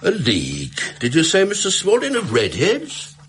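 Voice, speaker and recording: An actor playing a fussy, gullible middle-aged pawnbroker in a radio drama, rambling and deferential. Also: male voice, high voice, huge pitch swings, moderate pace, fairly bright tone, a little hiss or hum, exaggerated voice. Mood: curious. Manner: rambling, hesitant, chuckling, deferential. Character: fussy, garrulous, gullible widowed London pawnbroker. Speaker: client telling his story. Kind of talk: British radio drama acting.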